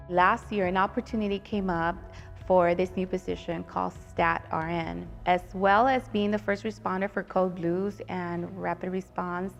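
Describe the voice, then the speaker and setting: Nurse in her thirties with a gravelly voice. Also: mid-range voice. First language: Spanish. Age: 30s. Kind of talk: interview testimony.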